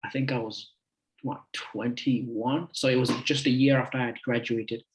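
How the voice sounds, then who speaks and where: Guest speaker, 20s male, easygoing, halting delivery, middle pitch. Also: fairly even intonation, low-quality recording. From United Kingdom. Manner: friendly, chatty, halting with many fillers. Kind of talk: casual talk to an online group.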